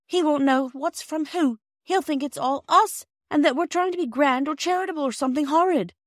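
Give a woman playing an anxious young girl in a storybook. Her voice runs high and urgent. The recording is dry and close. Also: fairly quick, no room sound, natural voice.

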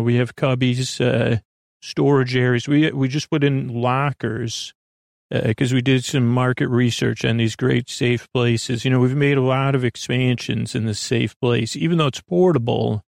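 A middle-aged American man hosting a sleep podcast in a soothing, meandering monologue, halting, interrupting himself and trailing off. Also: mid-range voice, little pitch movement, vocal fry, dry recording, clean studio sound.

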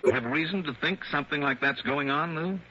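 A man's voice: fairly high pitch, a little fast, bright, forceful tone, breathy edge. An actor playing a wry, worldly male insurance investigator in 1960s radio drama, with clipped hard-boiled storytelling. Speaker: narrator and lead actor of a detective radio series. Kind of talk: 1960s radio drama acting, first-person narration. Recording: dull vintage radio recording with music.

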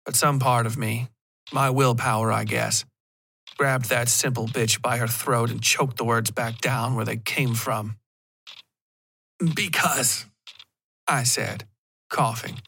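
A man's voice: medium pitch, flat delivery, a little slow, creaky, bright, forceful tone, slight background noise. A man narrating a first-person horror story from a script, as the narrator of a fiction podcast.

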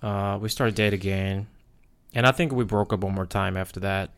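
Guy w korean accent, native korean speaker, lowish voice, chatting on a podcast, pauses to find his words. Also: steady tone, smooth. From South Korea. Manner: halting, reflective, searching for words. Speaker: podcast host. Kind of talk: casual personal storytelling.

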